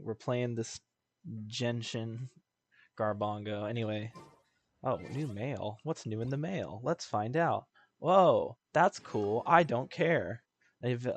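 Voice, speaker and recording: A man chatting casually as he streams a video game, rambling in a slangy, jokey way. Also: medium pitch. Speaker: live streamer.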